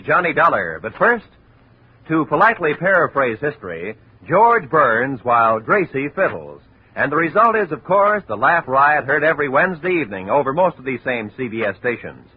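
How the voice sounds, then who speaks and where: A man's voice: on the high side, normal speed, husky. A man as radio announcer, delivering a promo in a 1950s broadcast style. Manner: bright, lively announcer delivery. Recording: tinny, hissy 1950 radio audio.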